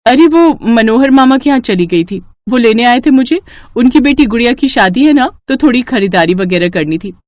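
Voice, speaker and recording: A woman's voice: a little high, expressive, moderate pace, darkish tone, dry recording, studio quality, pitched above the performer's own voice, natural voice. A woman voicing a polite, deferential young daughter-in-law in a cartoon story. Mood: explaining. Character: polite, hard-working young daughter-in-law, secretly a police inspector. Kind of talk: Hindi cartoon moral story.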